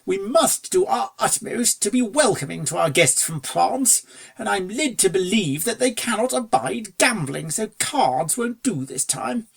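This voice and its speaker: A reader voicing a suave upper-class gentleman stallion in a storybook, with a posh, drawling, breezy manner and old-fashioned phrasing. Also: mid-range voice, lively intonation, a little slow, vocal fry, pitched above the performer's own voice. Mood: musing, genial. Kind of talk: storybook character dialogue.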